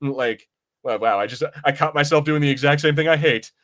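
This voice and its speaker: A man co-hosting a podcast, chatting casually about pop culture in a rambling, halting way and often repeating phrases. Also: a little high, fairly bright tone. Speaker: podcast co-host. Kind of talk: casual film and comics discussion.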